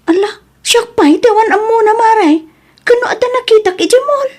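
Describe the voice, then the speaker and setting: Concerned Ilocano woman played by an actress, expressive, fretful and quick to scold, audio drama. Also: very high-pitched. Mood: teasing, nosy.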